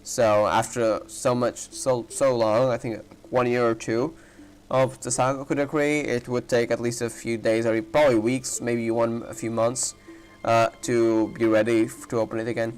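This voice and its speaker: A male gaming streamer talks casually over a playthrough. He rambles as he thinks aloud, in a mid-pitched voice. Light game music runs behind him. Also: little pitch movement, normal speed.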